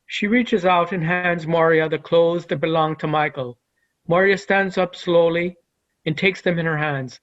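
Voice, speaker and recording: A man's voice: a little high, a little slow, a bit bright, muffled recording. A man giving scripted narration, reading out the stage directions of a play.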